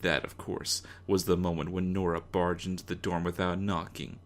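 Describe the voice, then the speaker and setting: A man's voice: sits low, level tone, normal speed. A man reading a story aloud as a dramatic narrator, in a mock-serious, theatrical manner. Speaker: narrator of a fan fiction reading.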